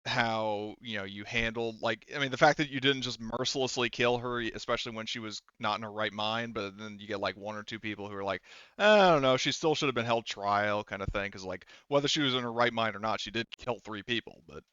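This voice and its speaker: A man's voice: lowish voice, fairly quick, sharp tone, clear, resonant tone, no echo, highs cut off. A man acting as game master, narrating a tabletop roleplay in a casual, rambling, conversational way.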